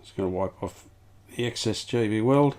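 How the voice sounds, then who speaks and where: Male repair vlogger, low pitch, casual and unscripted, plain matter-of-fact delivery. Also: normal speed, darkish tone. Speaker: restorer presenting a hands-on vintage radio repair. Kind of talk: casual workbench commentary.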